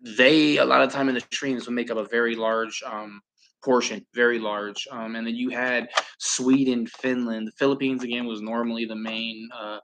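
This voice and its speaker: A man's voice: mid-range voice, fairly even intonation, normal speed, fairly bright tone, a little hiss or hum, poor audio. A man from Southern California hosting a live stream, chatting casually and calling plays, rambling with lots of fillers and casual swearing. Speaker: livestream host and play-by-play commentator.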